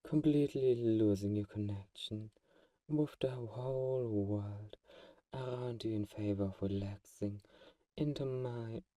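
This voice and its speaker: A man playing a doting but secretly manipulative vampire friend in an ASMR roleplay, soft-spoken and fussing, with a mellow, dark tone. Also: fairly low pitch, no room sound, recorded from a distance. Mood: hypnotic, gloating.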